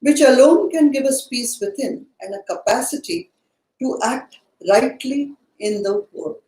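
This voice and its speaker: A woman hosting a book study, speaking in a measured way as she sums up points and poses questions. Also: high-pitched, dark tone. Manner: measured, summarizing and posing questions. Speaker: study session host. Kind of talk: guided book study discussion. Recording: echoey, roomy sound.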